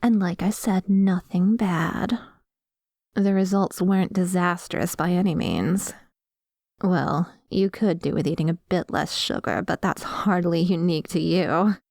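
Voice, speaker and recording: A woman playing a dominant, possessive female vampire in an ASMR roleplay, talking in a coy, deliberate and quietly menacing way. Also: on the low side, no echo, clean studio sound. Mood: coy, explaining.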